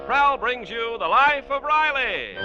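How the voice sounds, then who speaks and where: A man's voice: extremely high pitch, lively intonation, unhurried, loud music behind the voice. A man with an American accent announcing in polished, emphatic 1940s radio style, as for ads and scripted narration. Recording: dull vintage 1940s radio recording.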